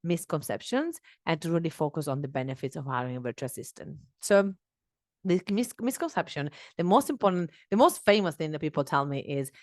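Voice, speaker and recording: A woman in her thirties hosting a solo podcast on a headset mic in a small home office. She speaks English as a second language, talking in an earnest, animated way with many fillers and restarts. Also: low-pitched, brisk, no echo, clean studio sound. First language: not English. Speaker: podcast host.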